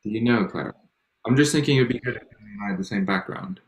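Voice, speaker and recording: A man's voice: fairly low pitch, fast talker. A man chatting casually and informally on a video call, asking practical questions of the group. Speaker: participant in a virtual staged reading. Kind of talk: casual chat. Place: indoor room, remote video call. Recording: echoey room on video call.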